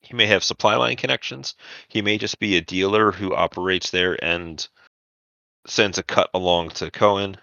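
A man narrating a tabletop roleplaying session as game master, in a halting, informal storytelling manner. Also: low voice, steady tone, gravelly, sharp tone, dry recording, highs cut off.

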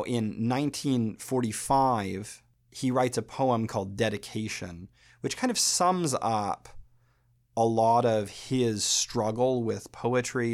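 An American man hosting a podcast, talking through a subject in a thoughtful, measured way with pauses. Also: medium pitch. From United States. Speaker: podcast host. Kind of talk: explanatory podcast talk.

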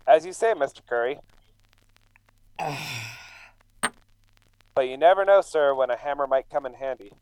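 A man's voice: mid-range voice, unhurried, pauses often, darkish tone, smooth, no echo, natural voice, low-quality recording. An actor playing an earnest, defensive immigrant painter down on his luck in a radio drama. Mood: dry, evasive. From England. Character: John Terse, struggling English immigrant painter, earnest and defensive.

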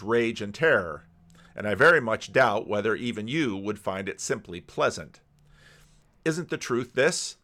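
A man's voice: lowish voice, a bit bright. A man giving casual commentary and reading aloud, bookish and given to digressions. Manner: bookish, digressive, stopping to comment on what is read. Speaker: video commentary host.

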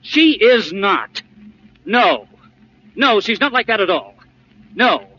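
A headstrong young playboy, carefree and lovestruck, in an old radio play. The voice is sharp, bright and fairly high, on a dull, faintly hissy recording. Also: male voice, sing-song, slow pace, husky, heavily acted. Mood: indignant. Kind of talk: 1940s radio drama acting.

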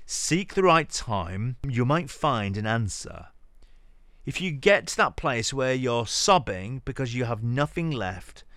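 A man giving a dramatic reading of a sermon, theatrical and exhorting, addressing the listener directly with deliberate pauses. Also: mid-range voice, fairly bright tone, dry recording, studio quality. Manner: direct rhetorical questioning of the listener. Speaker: podcast host reading scripture.

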